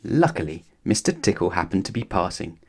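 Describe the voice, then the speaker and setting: A man's voice: deep voice, very animated, fairly quick, darkish tone, slightly rough. A man narrating a children's storybook expressively, as if talking straight to young listeners. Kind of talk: animated children's story read-aloud.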